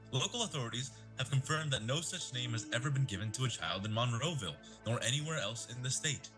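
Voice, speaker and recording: Bright, punchy local news anchorman, acted by a man. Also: medium pitch, poor audio. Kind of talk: newscast parody in audio drama.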